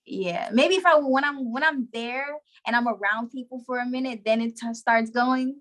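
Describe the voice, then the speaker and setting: A woman's voice: on the high side, normal speed, fairly bright tone. A young woman talking in a casual interview, chatty and slangy with lots of "like". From Trinidadian background. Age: young adult. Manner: chatty, slangy, full of "like" and laughs. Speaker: guest, show creator.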